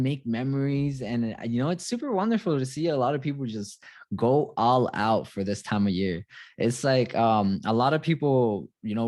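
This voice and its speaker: A man's voice: lowish voice, darkish tone, no echo. A man from Santiago, Chile, hosting a live online group call in a casual motivational style, with hype-man exclamations and repeated phrases. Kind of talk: casual motivational livestream talk.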